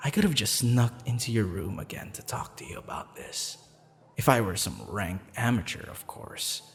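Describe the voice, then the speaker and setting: A man playing a lovestruck, menacing, teasing assassin in an ASMR audio roleplay, hushed and intimate, often slipping into a whisper. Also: lowish voice, lively intonation, normal speed, long reverb tail. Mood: confident, teasing.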